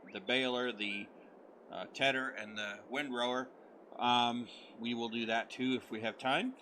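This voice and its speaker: A middle-aged man from the United States, hoarse as he recovers his voice, rambling in friendly casual commentary over a farming game. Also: mid-range voice, sharp tone, slight background noise, poor audio. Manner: friendly, rambling, many fillers. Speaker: gaming channel host. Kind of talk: casual let's-play commentary.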